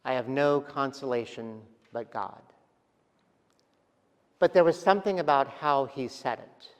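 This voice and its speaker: Reflective pastor in a reverberant church. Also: male voice, mid-range voice, lively intonation. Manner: gentle, reflective preaching with pauses and soft trailing endings. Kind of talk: sermon. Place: large church sanctuary.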